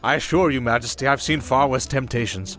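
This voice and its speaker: A man playing a fatherly archbishop in an audio drama, speaking formally with measured, gentle irony. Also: mid-range voice, soft background music, close to an everyday voice. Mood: genial. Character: genial, wry, fatherly archbishop. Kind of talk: fantasy audio drama acting.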